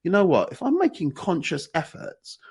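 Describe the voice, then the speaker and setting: A man with a husky, croaky morning voice who talks in long, winding, emphatic stretches and repeats phrases as he goes. His pitch swings widely with emphasis. The tone is casual and conversational. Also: huge pitch swings, moderate pace, a little mellow. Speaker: podcast co-host.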